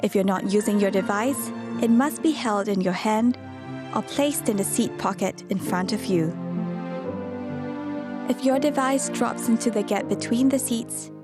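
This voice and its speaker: A woman's voice: mid-range voice, measured pace. A woman giving scripted safety narration for airline passengers. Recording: loud music under the voice. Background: music.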